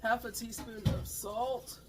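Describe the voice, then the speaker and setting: A woman's voice: fairly high pitch, slow pace, over loud music. A woman, chatting casually while she cooks in her home kitchen, her voice a bit worn from allergies. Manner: chatty, relaxed, with fillers and stumbles. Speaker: cooking vlogger. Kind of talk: casual cooking vlog narration. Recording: kitchen clatter in background. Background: clinking dishes, pots and pans.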